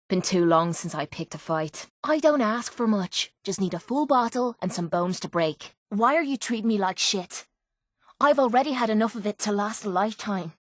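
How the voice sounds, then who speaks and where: A woman's voice: mid-range voice, dry recording, clean studio sound, natural voice. An actress voicing a tough, blunt, cheeky young Irish woman, a loyal brawler companion in a video game. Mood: cocky, teasing, then fed up. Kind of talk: video game character voice lines.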